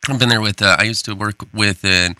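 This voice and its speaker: Chatty lower-voiced man, co-hosting. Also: steady tone, brisk, sharp tone, no room sound. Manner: chatty, stumbles over words. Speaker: podcast co-host. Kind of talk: casual podcast chat.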